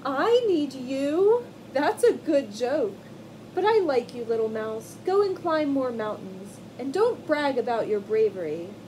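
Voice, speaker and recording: A woman voicing a proud, lazy male lion king who speaks in a slow, lordly way. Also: on the high side, animated, darkish tone, slight background noise, natural voice, poor audio.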